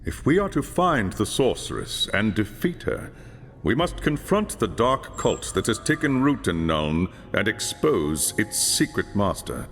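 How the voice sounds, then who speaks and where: A stern old elf mentor, low voice, swooping delivery, long reverb, music behind. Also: male voice, very animated, a bit bright, exaggerated voice. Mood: grave. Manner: grave, commanding, measured. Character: stern elder male elf lore master, mentor. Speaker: quest giver.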